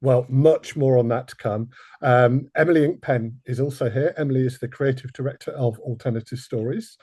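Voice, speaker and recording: A man hosts a podcast interview in a relaxed, mellow voice with a slightly husky grain. He is self-deprecating, and the sound is dry and close. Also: medium pitch, fairly quick, no room sound. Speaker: podcast host. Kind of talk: hosted panel interview.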